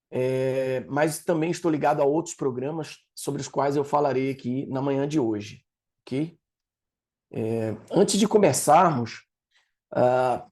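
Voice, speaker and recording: A man's voice: medium pitch, warm tone. A middle-aged man with a Bahian accent giving a professorial conference talk, explaining ideas with frequent fillers. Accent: Bahian Brazilian Portuguese. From Salvador, Bahia, Brazil. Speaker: project leader presenting at an event. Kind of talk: conference presentation.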